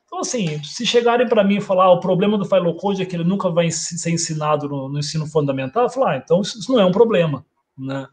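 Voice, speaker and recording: A middle-aged man speaks from a room at home over a video call, explaining things at an easy pace. His voice sits notably high for a man. He thinks aloud and keeps checking in with short tag questions. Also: racing. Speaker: guest lecturer.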